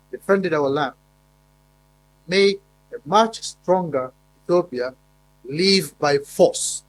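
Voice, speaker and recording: A man's voice: on the high side, rough recording. Emphatic man giving a conference talk. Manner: emphatic, with frequent fillers and repeated words. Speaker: conference speaker. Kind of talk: formal conference presentation. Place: conference hall.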